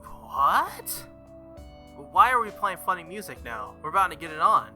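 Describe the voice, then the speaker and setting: A man hosts a visual novel playthrough, breaking in with excitable jokes between the lines he reads. His voice is bright and forceful. It sits somewhat high, over faint music. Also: a little slow. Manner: excitable, joking asides. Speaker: let's-play host. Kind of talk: reading a visual novel aloud with commentary.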